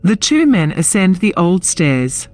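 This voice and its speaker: A woman's voice: fairly low pitch, big pitch swings, unhurried, darkish tone. A woman narrating a scripted fantasy audio drama in a descriptive storytelling style. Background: music.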